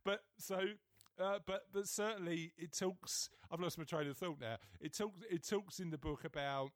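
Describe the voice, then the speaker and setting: A man from East London with a London accent, hosting a podcast interview in a chatty, self-mocking way with halting restarts. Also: a little high, no echo, studio quality. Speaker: podcast host. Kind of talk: casual interview chat.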